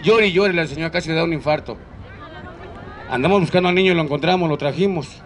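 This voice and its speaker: A man's voice: a little high, fast talker. A man with a Mexican accent working a crowd as a street comedian, bantering in a teasing, cheeky way. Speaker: street clown comedian hosting a live show. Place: outdoor public park in a city. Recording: outdoor urban noise, crowd around.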